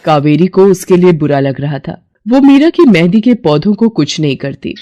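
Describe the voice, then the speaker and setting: Calm woman narrating a moral story. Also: on the low side, moderate pace, a little hiss or hum.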